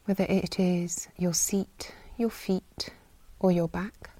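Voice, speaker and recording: A woman guiding a body scan meditation in a soft, breathy, soothing way, leaving long pauses between short phrases. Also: on the low side, steady tone, dry recording. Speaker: meditation guide.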